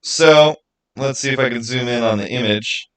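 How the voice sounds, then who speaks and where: A man hosting a livestream, answering viewers in casual, halting speech and thinking out loud. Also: mid-range voice, clean tone, rough recording. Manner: halting, thinking aloud with repeated phrases. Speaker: livestream host.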